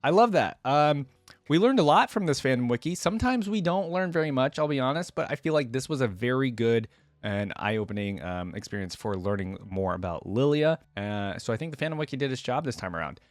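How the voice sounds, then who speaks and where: A man's voice: mid-range voice, animated, fairly quick, no echo. Man reading aloud, dry close recording. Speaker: reaction video host. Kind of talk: reading wiki text aloud with casual commentary.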